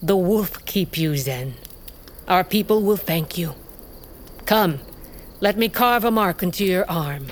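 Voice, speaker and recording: Low-voiced wolf queen played by a woman, bossy and proud, crickets in the bg, radio drama. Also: lively intonation, takes it slowly, long pauses, slight background noise. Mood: scheming. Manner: authoritative, clipped commands.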